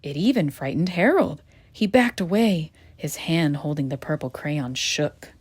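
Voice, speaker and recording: A woman reading a children's picture book aloud in a gentle, expressive storytelling manner. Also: low-pitched, unhurried, husky. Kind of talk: children's read-aloud narration.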